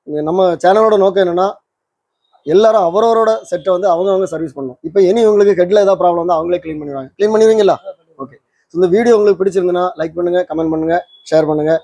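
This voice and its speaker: A man's voice: sits high, gravelly, mellow, dry recording. A man hosting a repair channel, explaining things casually and often checking with tag questions. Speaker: host of a vintage radio repair channel.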